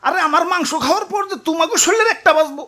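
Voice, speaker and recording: High-pitched mouthy cartoon bull. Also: male voice, racing, bright, forceful tone, a little hiss or hum. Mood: indignant scolding. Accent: Bangladeshi. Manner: indignant, scolding, street-tough. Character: fed-up, mouthy talking bull threatening its tormentors. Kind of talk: cartoon comedy dialogue in colloquial dialect.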